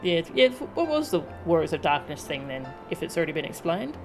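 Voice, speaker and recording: Woman streamer just chatting w viewers, lowish voice, game music going in the background. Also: sing-song, brisk. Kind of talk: casual livestream chat. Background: video game music.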